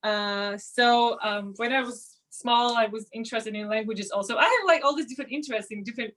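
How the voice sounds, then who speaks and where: A young adult woman, a ballerina guest on an online interview, chatting with many fillers and pauses. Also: on the high side, a bit bright. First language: Georgian. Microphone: video call.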